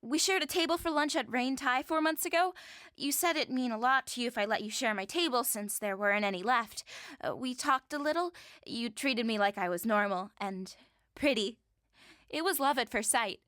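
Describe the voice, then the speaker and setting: A woman's voice: on the high side, moderate pace, a bit bright, no echo, studio quality, natural voice. A woman playing a doting, dangerous ex-military woman who stalks the man she loves. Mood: adoring. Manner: sweetly doting with a menacing edge. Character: obsessive ex-military female stalker, cheerful and dangerous.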